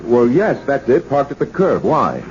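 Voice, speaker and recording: An actor playing a suave, secretly conspiring fiancé in a 1970s radio drama, polished and theatrical with an uneasy edge. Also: male voice, medium pitch, wildly swooping pitch, normal speed. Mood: guarded. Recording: muffled, noisy old radio broadcast.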